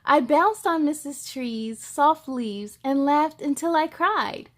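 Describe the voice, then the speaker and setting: A woman's voice: high voice, slow pace. A woman reading a children's picture book aloud expressively, with casual wondering asides for young listeners.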